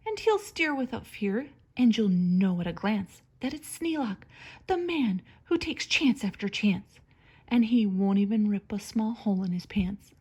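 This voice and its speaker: A woman reading a children's story aloud like a teacher, with expressive, theatrical emphasis and a breathy edge to her voice. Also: medium pitch, unhurried. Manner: dramatic, theatrical emphasis on words.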